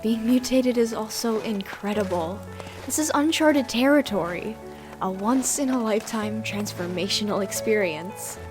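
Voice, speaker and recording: A woman voicing an upbeat, excitable young female mutant lizard, a chatty idealist, in a comic dub. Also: fairly high pitch, normal speed, over music, natural voice. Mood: wistful.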